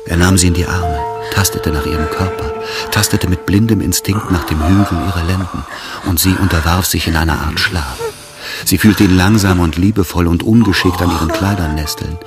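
An actor narrating a literary love story in a radio play, in a measured, intimate manner. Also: male voice, sits low, fairly even intonation. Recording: music behind the voice. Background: loud music.

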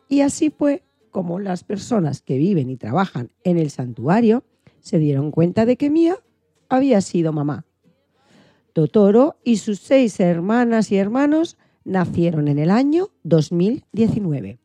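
A woman's voice: on the low side, mellow, no room sound. A woman radio presenter reading scripted storytelling narration.

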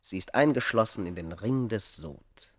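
A man's voice: on the low side, animated, normal speed. A male actor playing a bitter, vengeful keeper of a secret in a radio play, with emphatic, declamatory delivery. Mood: cold, triumphant. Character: bitter, vengeful man guarding a deathless secret. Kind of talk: theatrical radio play dialogue.

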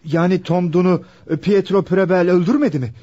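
An actor playing an earnest, questioning lawyer in a radio play. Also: male voice, high-pitched, fairly even intonation, fairly quick, warm tone. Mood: puzzled. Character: earnest, puzzled male lawyer and fiancé. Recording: dull, muffled old radio recording.

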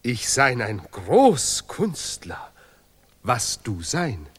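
A vain, ceremonious artist in an experimental radio play. He declaims slowly in broken German, with a very lively rise and fall. Every phrase sounds pompous and stylised. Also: medium pitch, husky, exaggerated voice. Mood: boastful. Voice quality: deliberately broken, ungrammatical German. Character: grandiose self-praising great artist and Nobel laureate.

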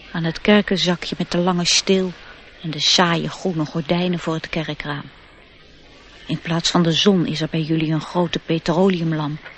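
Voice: medium pitch, slight background noise, natural voice. A performer voicing an airy, knowing nature sprite in a radio play, lecturing with disdain for humans.

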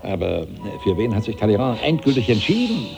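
An actor playing a suave banker of revolutionary Paris in a German radio play, a courtly, smooth-tongued schemer. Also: male voice, lowish voice, big pitch swings, brisk, over music. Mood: scheming.

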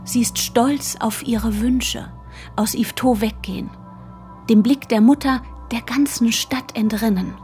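A woman's voice: on the high side, steady tone, a little slow, darkish tone, soft background music, natural voice. An actress narrating a literary radio drama, portraying a proud, bookish small-town girl. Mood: intimate, observant.

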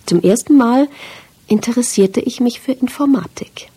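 A woman's voice: a little high, expressive, normal speed, dark tone, breathy edge. A woman reading a literary first-person story as a scripted audiobook narration.

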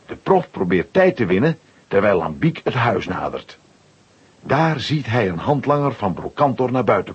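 A male narrator tells a children's story with a very husky, breathy voice. His pitch is lively and mid-range, and the recording sounds slightly dull. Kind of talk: scripted storytelling for a children's audio play.

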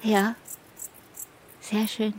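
An actress playing a gentle young village woman in a radio drama, speaking simply and directly. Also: mid-range voice, monotone, very slow, slight background noise, low-quality recording. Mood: tender.

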